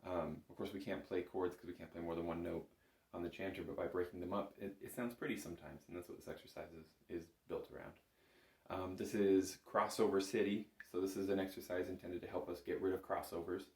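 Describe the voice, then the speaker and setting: A man with a low voice teaches music informally in a roomy, echoing space. He explains each step conversationally, in an encouraging and modest manner. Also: fairly even intonation, fairly quick. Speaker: bagpipe instructor. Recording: roomy, echoing indoor sound.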